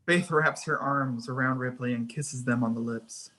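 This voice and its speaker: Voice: mid-range voice, fairly even intonation, moderate pace, bright, forceful tone. A narrator reading screenplay action lines and scene headings in a scripted table read.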